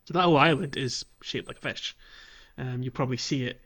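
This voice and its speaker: A man's voice: mid-range voice, fairly quick, a bit bright. A man co-hosting a podcast, casually talking through a video game in a conversational, somewhat hesitant way. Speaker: podcast co-host.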